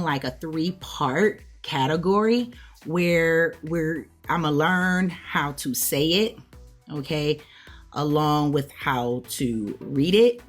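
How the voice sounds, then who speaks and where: An American woman with a low voice speaks in a casual vlog style, addressing viewers directly. She explains things conversationally and often checks in with small tag words, over faint music. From United States. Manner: conversational, checks in with okay, right, all right. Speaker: language learner hosting a video channel.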